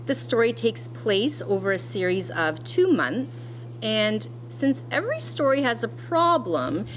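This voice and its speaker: A woman teacher reading a picture book aloud to children in an expressive, deliberate way, pausing to explain as she goes. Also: mid-range voice, a little hiss or hum, low-quality recording.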